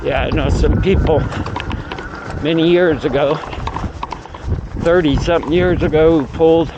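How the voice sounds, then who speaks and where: A man's voice: a little high, fairly even intonation, normal speed. A middle-aged man chatting casually outdoors as he vlogs, rambling and musing aloud to himself. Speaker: vlogger and amateur radio operator. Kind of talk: casual outdoor vlog chat. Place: outdoors on a mountain bike trail. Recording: muffled outdoor audio with clear background noise.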